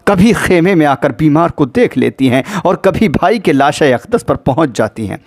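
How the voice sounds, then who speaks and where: A man delivering a mournful religious sermon as dramatic storytelling, grieving and emotive, with drawn-out pauses and recited verse. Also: fairly high pitch, lively intonation, a little mellow, no room sound, studio quality. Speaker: religious orator narrating the Karbala tragedy.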